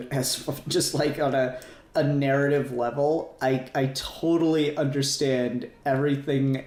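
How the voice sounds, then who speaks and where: A man's voice: mid-range voice, darkish tone, roomy sound. A man hosting a casual fan discussion podcast, talking in a rambling way and often correcting himself. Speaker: podcast host.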